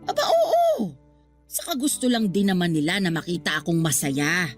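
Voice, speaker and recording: Radio drama mother, fifties, lovelorn and defensive, plaintive everyday delivery over soft music. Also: lowish voice, huge pitch swings, moderate pace. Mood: defensive, light. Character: lovelorn 53-year-old mother, defensive yet vulnerable.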